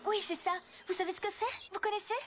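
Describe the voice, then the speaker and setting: A woman playing a spirited, earnest teenage fairy girl in a cartoon, with expressive delivery. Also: very high-pitched, quick pace. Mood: anxious. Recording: loud music behind.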